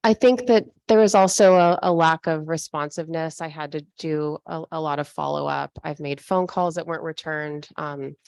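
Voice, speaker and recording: A woman's voice: low voice, moderate pace, vocal fry, few pauses, a little mellow, no echo. A woman trustee answering questions at a board meeting, defensive and hesitant. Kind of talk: formal meeting discussion.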